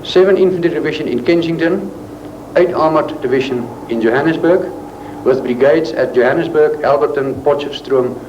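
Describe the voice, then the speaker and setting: A man giving a formal, deliberate scripted military briefing on dull, roomy old film sound. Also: on the high side, noisy background.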